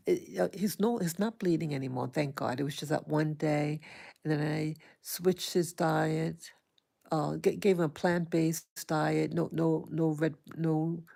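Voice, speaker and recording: Soft-spoken woman, slow and gravelly. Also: low-pitched. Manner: soft-spoken, hesitant with many fillers, greets viewers. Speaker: livestream host. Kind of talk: early morning livestream chat. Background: small dog occasionally heard.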